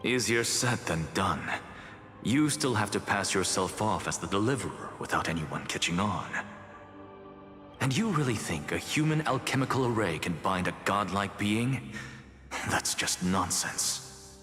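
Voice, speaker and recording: An actor voicing an arrogant, theatrical demigod of reason in a game, speaking in measured, articulate, haughty lines. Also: male voice, fairly low pitch, lively intonation. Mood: stern, skeptical. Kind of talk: dramatic game dialogue. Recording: reverberant hall with music underneath.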